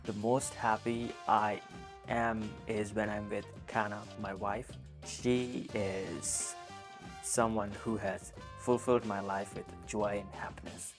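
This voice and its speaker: A young man in his twenties, from Pakistan, answering viewer questions in a soft-spoken, earnest vlog. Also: lowish voice, steady tone, slow, over music. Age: 20s. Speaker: vlogger. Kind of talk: casual Q and A monologue.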